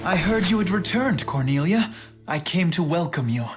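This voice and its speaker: An actor playing a foppish, soft, affable young prince in an anime audio drama, airy, theatrical and courtly. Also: male voice, high voice, animated, normal speed, over music. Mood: breezy. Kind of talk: English-dub anime audio drama acting.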